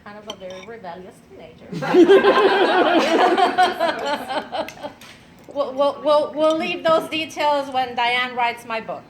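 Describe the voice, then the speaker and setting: A woman, telling her personal story at an event in an unhurried way with fillers and light laughs. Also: high-pitched, lively intonation, a loud crowd. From Venezuela. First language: Spanish. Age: 50s. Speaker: speaker at an event. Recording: clattering dishes and cutlery behind.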